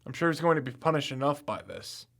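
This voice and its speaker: A man's voice: fairly high pitch, animated, quick pace, vocal fry, fairly bright tone. A man playing a confident young amateur campus detective in an audio drama, matter-of-fact with wry asides.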